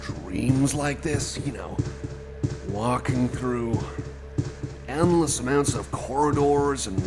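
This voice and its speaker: A man doing a rambling, improvised ASMR roleplay as a nervous, friendly companion, halting and stammering as he repeats his phrases. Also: mid-range voice. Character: nervous, friendly male cyborg companion lost in endless corridors. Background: music.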